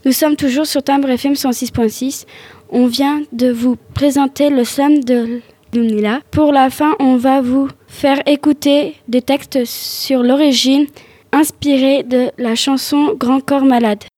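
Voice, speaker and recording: A woman's voice: high-pitched, steady tone, a little slow, darkish tone, breathy edge, dry recording. A teenage girl presenting a student radio show, reading her script carefully aloud. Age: teens.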